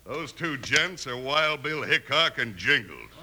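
An actor playing a cocky, scheming outlaw gang leader, smug and commanding, in a 1950s western radio drama. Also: male voice, mid-range voice, very animated, unhurried, bright, forceful tone, exaggerated voice. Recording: faintly noisy old radio recording.

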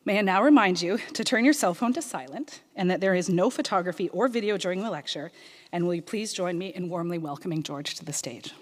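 A woman with a slightly low voice reads a formal, measured introduction of a speaker. She hosts the event at a museum. Long hall reverb surrounds her voice. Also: lively intonation. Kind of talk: read introduction.

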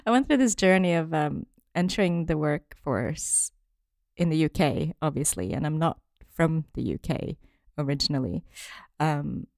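A woman's voice: low voice, expressive, moderate pace, creaky, a little mellow, no echo, clean studio sound. A woman from Norway in a casual workplace discussion, talking thoughtfully, correcting herself and laughing often.

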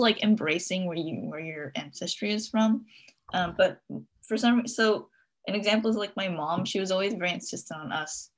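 A young woman co-hosting a casual podcast, reflective and hesitant, thinking aloud with many fillers. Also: lowish voice. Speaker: podcast co-host.

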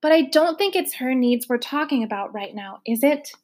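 Prim businesslike caseworker lady, as a woman reads her, crisp and firm, high voice. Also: expressive, moderate pace, darkish tone. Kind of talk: storybook reading.

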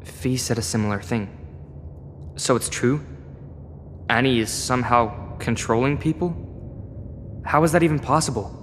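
A man's voice: mid-range voice, normal speed, long pauses, a bit bright, a little hiss or hum, natural voice. A man playing a frustrated, defiant teenage boy in a sci-fi audio drama, argumentative and sarcastic. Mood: questioning, troubled.

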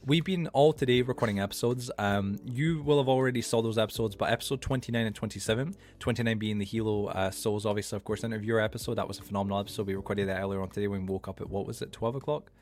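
A man's voice: lowish voice, rapid-fire, few pauses, darkish tone. A man in his early twenties hosting a casual podcast, rambling with lots of fillers and restarts. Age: 20s. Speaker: podcast host. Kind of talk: casual late-night podcast chat.

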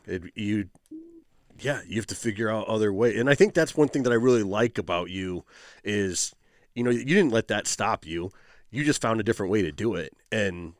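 A man hosting a casual interview, speaking in a relaxed, affirming way. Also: lowish voice, fairly quick, no echo, studio quality. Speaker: host. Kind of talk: casual conversation.

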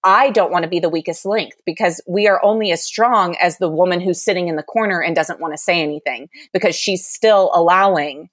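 A woman's voice: on the low side, a little fast, hardly any pauses, no room sound, clean studio sound. A young adult woman telling a candid, exasperated dating story with lots of "like" in a casual chat.

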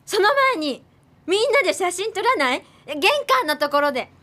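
An actress playing a blunt, strong-willed grown daughter in a radio drama, talking casually and directly. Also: female voice, extremely high pitch, sing-song, moderate pace, bright, forceful tone, full character voice. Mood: insistent.

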